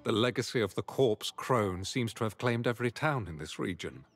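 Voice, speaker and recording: A man's voice: on the low side, lively intonation, moderate pace. An actor playing a solemn, scholarly male knight in a fantasy game, speaking formally with archaic phrasing. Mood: grave, earnest. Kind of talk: scripted fantasy game dialogue.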